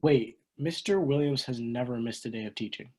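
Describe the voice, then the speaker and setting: A man playing an excitable high school boy in an amateur script table read. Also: normal speed, natural voice. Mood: amazed.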